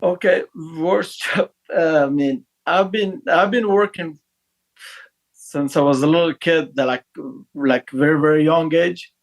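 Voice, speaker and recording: A man's voice: fairly high pitch, unhurried, rough recording. A man in his thirties from Afghanistan, a podcast guest speaking in a hesitant way with many fillers and restarts. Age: 30s. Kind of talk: casual interview talk.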